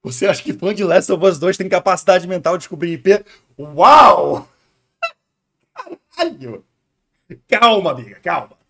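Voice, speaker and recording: A Brazilian-accented male streamer reacts casually and talks to his viewers. He is slangy and joking, in a somewhat high voice. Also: expressive, a little slow, vocal fry, pauses often, fairly bright tone, faint music behind.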